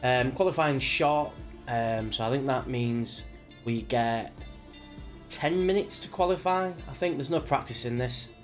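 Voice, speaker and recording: A British man talks casually to his livestream viewers with music playing behind him. He sounds a little sniffly from a cold. His delivery is chatty and rambling, at a middle pitch. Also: measured pace. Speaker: live streamer, sim racing driver. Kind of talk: casual livestream chat before a race.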